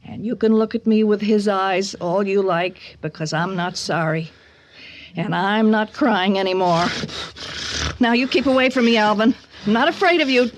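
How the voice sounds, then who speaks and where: An actress playing a bitter, haunted middle-aged mother in a radio drama, speaking haltingly and with raw emotion. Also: mid-range voice, slight background noise, close to an everyday voice. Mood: frightened, hysterical. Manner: halting, breaking into whispers and cries. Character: bitter, haunted mother of a son she fears. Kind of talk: dramatic radio monologue acting.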